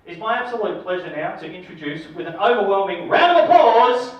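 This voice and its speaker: A man's voice: high voice, expressive, brisk. A man giving an enthusiastic testimonial as he introduces the speaker at a seminar. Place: seminar room. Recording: distant, dull, very echoey room.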